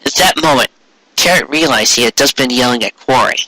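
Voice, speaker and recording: A man's voice: mid-range voice, brisk, bright, forceful tone, dry recording, poor audio. A man narrating a fan fiction story in a dramatic amateur reading style.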